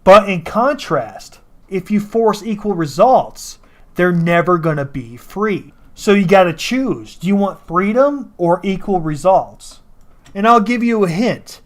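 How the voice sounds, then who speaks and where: A man's voice: high voice, animated, measured pace. A man giving casual, opinionated reaction commentary in a blunt, argumentative, sweary way.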